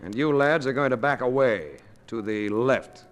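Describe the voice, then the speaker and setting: An actor playing a wry, hard-boiled private investigator in a 1950s radio detective drama, with a dry manner. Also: male voice, medium pitch, normal speed, natural voice. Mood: hard, commanding. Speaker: lead and first-person narrator.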